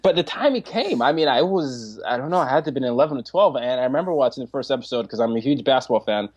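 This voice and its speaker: Guy in his 20s on a podcast, animated, laughs a lot, keeps restarting sentences. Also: mid-range voice, fast, breathy edge. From Nigeria. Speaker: podcast guest. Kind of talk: casual interview chat.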